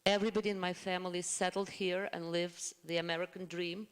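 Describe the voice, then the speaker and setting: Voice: mid-range voice, level tone, clear, resonant tone. Russian-accented emigré telling a family story. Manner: earnest, grateful, halting with restarts. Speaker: panelist. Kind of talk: personal storytelling to a congregation. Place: congregation hall. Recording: long reverb of a hall.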